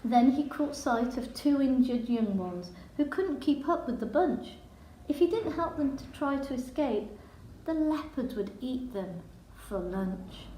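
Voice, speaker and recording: A woman reading a children's picture book in verse with a measured, dramatic storytelling delivery. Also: sits high, dark tone, a little hiss or hum. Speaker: storybook reader. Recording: echoey room.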